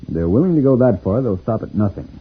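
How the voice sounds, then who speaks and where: An actor playing a calm, protective space pilot from another planet, reassuring and explanatory in a 1950s radio serial style. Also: male voice, fairly low pitch, fast, husky, exaggerated voice. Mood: grave, confiding. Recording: tinny, noisy old radio recording.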